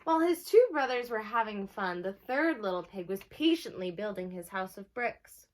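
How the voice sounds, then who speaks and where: A woman teacher reading a storybook aloud to young children, pausing to explain simply and ask them questions. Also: medium pitch, animated. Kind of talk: children's storybook read-aloud with asides to listeners.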